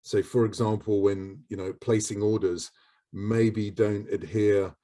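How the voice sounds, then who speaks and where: A man's voice: on the low side, fairly even intonation, measured pace, warm tone. A man answering questions on a video call panel, hesitant and full of "um"s. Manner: hesitant, many ums.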